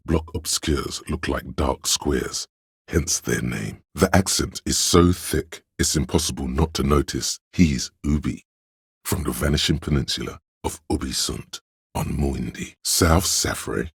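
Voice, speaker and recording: A man voicing an erudite, pedantic encyclopedia inside a detective's mind in a video game, lecturing in a measured, scholarly way with ominous gravity. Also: a bass voice, vocal fry, slightly rough, dry recording, clean studio sound, exaggerated voice. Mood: scholarly, musing. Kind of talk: scripted game narration, lecture-like.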